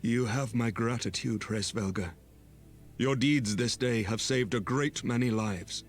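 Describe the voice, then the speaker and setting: A man's voice: medium pitch, a little slow, faint music behind, lightly acted. A man voicing a grave, earnest knight commander from a fantasy game in a formal, dramatic manner. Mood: grateful, formal.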